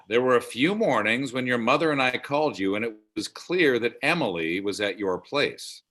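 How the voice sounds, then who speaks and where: A man's voice: medium pitch, lively intonation, normal speed, fairly bright tone. A man acting a stubborn, moralizing older father at a comedy table read, prudish and insistent. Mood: disapproving.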